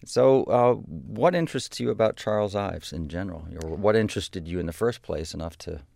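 A man's voice: fairly low pitch, expressive, a little fast, vocal fry, a little mellow, dry recording. A man hosting a radio interview, asking conversational questions with some hesitations. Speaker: radio host.

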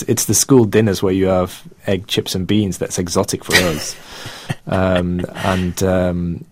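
A man in his thirties with a Manchester accent talks through his thoughts in a low voice, pausing and restarting often. The sound is dry and close, with no room around it. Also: a little mellow, dry recording. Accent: Manchester English. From England. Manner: hesitant, with many fillers and restarts, laughing often. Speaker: guest artist. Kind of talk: casual interview chat.

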